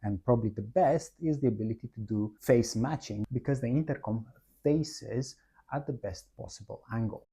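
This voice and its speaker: A man's voice: mid-range voice, normal speed, mellow, husky. A man narrating a product walkthrough, explaining methodically, one step at a time. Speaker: product presenter, installer.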